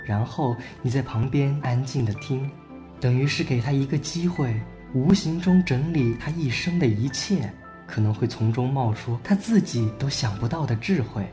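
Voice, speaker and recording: A man playing a free-spirited traveling cousin, giving measured instruction in an amateur radio drama. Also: medium pitch, warm tone, soft background music, natural voice. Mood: reflective.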